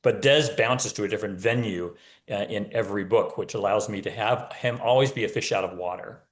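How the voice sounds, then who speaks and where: A man's voice: fairly low pitch, a little fast, slight background noise. A man talking casually as an author guest, explaining point by point with wry humor. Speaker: novelist, podcast guest. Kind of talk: casual interview talk.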